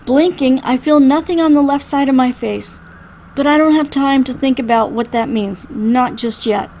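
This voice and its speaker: A woman reading a first-person story aloud in an expressive, dramatic way. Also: high-pitched, moderate pace, slight background noise. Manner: expressive, acts out the dialogue. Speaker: reader playing through a visual novel.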